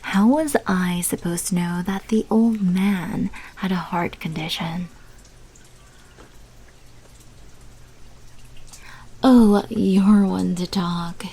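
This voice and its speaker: A woman playing a sly, menacing vampire mafia manager in an ASMR roleplay, speaking in a hushed voice that often drops into whispers. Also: on the low side, takes it slowly. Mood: guarded, defensive. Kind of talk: ASMR roleplay, scripted character monologue. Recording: clearly noisy background.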